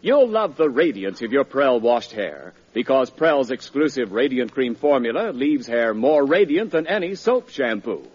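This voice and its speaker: A man's voice: medium pitch, sing-song, moderate pace, hardly any pauses, a little mellow, husky. A man announcing in 1940s radio advertising style, with a polished, emphatic sales delivery. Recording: muffled, fuzzy old radio recording.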